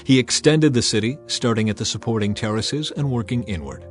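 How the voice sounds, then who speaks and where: A man narrating scripture as a dramatized audiobook, in a solemn, emphatic, clearly enunciated storytelling manner. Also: medium pitch, expressive, a little fast. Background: background music.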